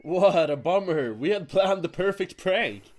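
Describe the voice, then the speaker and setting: An actor playing a dry, bossy winged sea hunter, wry and chiding, in a fantasy audio drama. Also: male voice, sits high, animated, unhurried, darkish tone. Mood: mocking.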